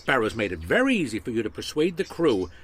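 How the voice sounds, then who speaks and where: An actor playing a probing, skeptical man who speaks in a knowing, pointed, measured way in an audio drama. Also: mid-range voice, wildly swooping pitch, a bit bright, slight background noise.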